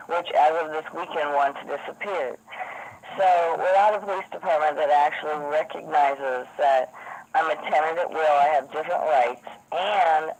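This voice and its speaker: A hoarse woman who has lost her voice, insistently explaining a complaint over the phone to the police. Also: low voice, normal speed, darkish tone. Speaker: caller to police. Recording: noisy phone line recording.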